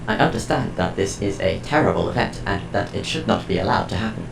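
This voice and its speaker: Man voicing a creaky, low artificial intelligence. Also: level tone, echoey room, music in the background, rough recording. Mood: detached, formal. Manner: formal, precise, measured. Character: calm, formal, curious artificial intelligence. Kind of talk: audio drama acting.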